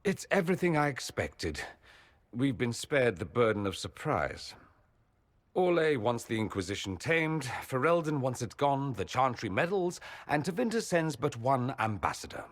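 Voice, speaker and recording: A man's voice: medium pitch, sing-song, moderate pace, no echo. An actor playing a witty, vain and sardonic nobleman mage with theatrical, flippant delivery in a video game. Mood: arch, playful. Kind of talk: dramatic video game dialogue.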